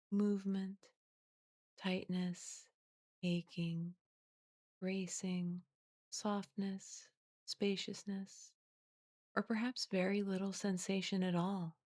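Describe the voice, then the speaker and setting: Female meditation guide, slightly low voice, soothing and unhurried, very level intonation, close dry recording. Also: no room sound. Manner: gentle, soothing, unhurried delivery with long pauses. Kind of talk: guided body scan meditation, scripted and slowly spoken.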